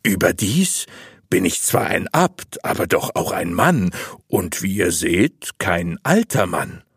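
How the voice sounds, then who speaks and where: A man playing a sly, lecherous abbot in a storybook, speaking with unctuous, deliberate persuasion and false piety. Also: deep voice, big pitch swings, breathy edge, no echo, clean studio sound, voice pushed down, full character voice. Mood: seductive. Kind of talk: audiobook storytelling.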